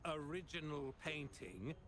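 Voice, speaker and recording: An actor playing a haughty, scheming middle-aged art dealer in a video game, theatrical and argumentative. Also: male voice, a little high, lively intonation, measured pace, fairly bright tone. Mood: indignant. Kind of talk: dramatic video game voice acting. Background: game music.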